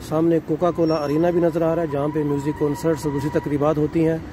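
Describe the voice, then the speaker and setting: A man's voice: fairly high pitch, flat delivery, fast. Man on a night walking tour. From Pakistan. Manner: addresses viewers as "nazreen", leisurely describing sights while walking. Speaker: travel vlogger. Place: city streets at night, outdoors. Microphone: handheld phone camera. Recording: outdoor traffic noise.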